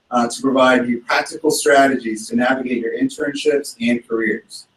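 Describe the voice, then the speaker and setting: A man speaks from some distance in a large, echoing hall. He gives a formal welcome at a measured pace, with frequent "uh" and "um" fillers. His pitch is mid-range. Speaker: program director introducing staff. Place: large hall at an orientation event. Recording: roomy hall, far from the mic.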